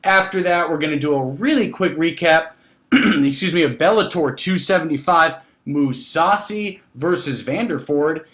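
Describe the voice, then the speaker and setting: A man with a fairly high voice works as a podcast host. He talks about sports in a casual, chatty way, with an enthusiastic manner and a habit of repeating phrases for emphasis. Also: expressive, moderate pace, fairly bright tone.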